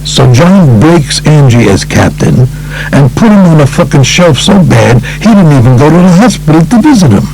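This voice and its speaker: A man's voice: fairly high pitch, very animated, normal speed, a little mellow, a little hiss or hum, poor audio. A man voicing a blunt, streetwise New York mobster who tells his story in profane, emphatic, short phrases for an audiobook. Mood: indignant, blunt.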